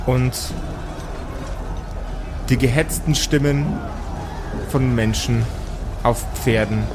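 A man narrating an improvised tabletop audio play as game master, in measured, dramatic short phrases. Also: mid-range voice, fairly even intonation, noisy background.

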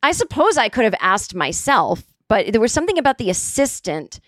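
Raspy woman telling a profane comic story. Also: on the high side, fairly quick, no echo, studio quality. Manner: profane, animated, acts out quotes. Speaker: comedian and podcast host. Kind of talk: casual comedic storytelling.

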